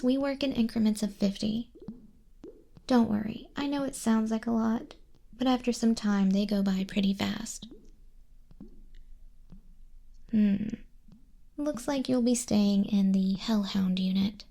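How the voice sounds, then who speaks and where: A smug demon clerk from hell's front desk, played by a woman, speaks in a hushed ASMR murmur that often drops to a whisper. The voice is husky and mellow with a creaky edge. She is condescending and teasing, with the dry patience of a bureaucrat. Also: mid-range voice, unhurried. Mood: teasing, businesslike. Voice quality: soft, breathy, husky. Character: smug female demon clerk registering sinners in hell. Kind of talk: ASMR roleplay, soft-spoken and whispered.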